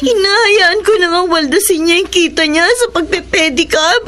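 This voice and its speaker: High-pitched, wronged young Filipino wife. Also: female voice, moderate pace, a bit bright. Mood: tearful, wronged. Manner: sobbing, emotional delivery. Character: wronged young wife, tearful, bitter and fiery. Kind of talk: Tagalog radio drama acting. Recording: noisy radio drama recording.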